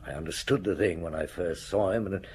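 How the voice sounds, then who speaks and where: An actor playing a weary, shrewd senior police inspector, gruff and commanding, in a radio drama. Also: male voice, low voice, fairly quick, a little mellow, slight background noise, lightly acted. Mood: grim, regretful.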